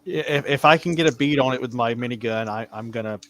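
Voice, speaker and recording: A man's voice: medium pitch, quick pace, a bit bright. A man playing a tabletop role-playing game, chatting casually with folksy, dry humour.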